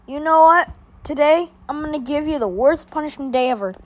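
A woman's voice: sits high, fairly quick, close to an everyday voice. A woman voicing a bossy older boy who gloatingly announces punishments in a homemade skit.

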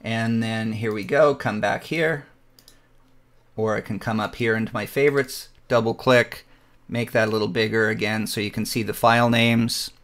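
Man explaining software step by step. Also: on the low side, moderate pace. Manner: relaxed step-by-step explaining with frequent fillers. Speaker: photography software instructor. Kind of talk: screen-recorded software tutorial.

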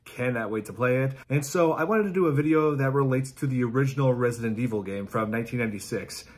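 Casual male reaction host, thirties, husky voice, amused and halting delivery. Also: medium pitch. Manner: halting, amused, laughs while talking. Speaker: YouTube host reacting to video game clips. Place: small indoor room at home.

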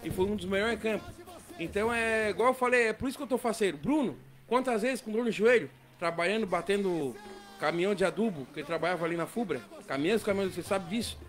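A man with a southern Brazilian accent telling stories in casual, animated, slangy podcast chat. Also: sits high, quick pace. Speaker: football coach. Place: small indoor podcast studio with several people at a table. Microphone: close studio mic on boom arm.

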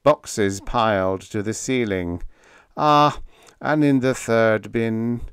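A man voicing a snappish little spy in a science fiction story, with a halting, self-important, trance-like manner. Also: fairly low pitch, a bit bright, clean tone, no echo, studio quality. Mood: droning trance.

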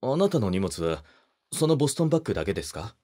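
An actor playing a polite, gentlemanly young wedding photographer who speaks softly and teases the listener in an audio drama. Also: male voice, fairly low pitch, big pitch swings, fast talker. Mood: polite, gentle. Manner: soft-spoken, polite, teasing.

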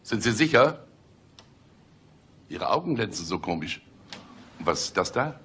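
A middle-aged police chief in a radio drama. His voice is fairly low, and he talks down to people with smug, teasing mock solemnity. There is faint noise behind him. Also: very animated, a little slow, fairly bright tone. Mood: mock concern. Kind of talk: German radio play acting.

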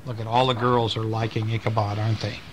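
A man's voice: lowish voice, fast talker. Man reading a bedtime story, faint background noise. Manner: expressive, breaking off to explain and ask the child questions. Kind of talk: storytelling read aloud to a small child, with asides. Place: at home, reading to a child. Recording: noisy amateur home recording.

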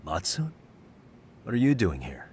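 A man's voice: deep voice, big pitch swings, a little slow, a little mellow, a little hiss or hum. An actor playing a guarded, determined private detective in his thirties in a video game. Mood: concerned. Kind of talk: video game cutscene dialogue.

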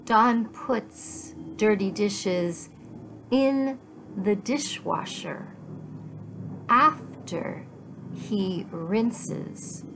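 A middle-aged woman teaching English to learners, speaking deliberately in short, carefully enunciated phrases with pauses between them. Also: mid-range voice, lively intonation, fairly bright tone, slight background noise, rough recording. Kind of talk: slow language lesson for learners. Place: small living room at home, indoors.

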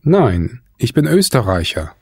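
A man reads scripted answers slowly and carefully. His voice is low, and its pitch rises and falls a lot. The sound is dry and close. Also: sing-song, a little mellow, breathy edge, no echo. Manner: careful, deliberate pronunciation. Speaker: course speaker voicing dialogue answers. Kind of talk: slow scripted dialogue reading.

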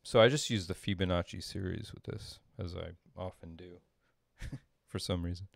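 A middle-aged man giving an informal tutorial at his desk, thinking aloud in a halting way as he explains. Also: low-pitched, gravelly, dry recording, studio quality. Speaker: composer demonstrating audio programming. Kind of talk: informal screen-share tutorial. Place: small indoor room at a desk.

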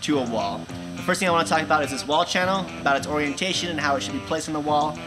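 A young man presenting for a company, giving clear step-by-step instructions in a large indoor room. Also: fairly high pitch, rapid-fire, music in the background, poor audio. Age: young adult. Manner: clear step-by-step explaining with hand gestures. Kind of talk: instructional how-to video.

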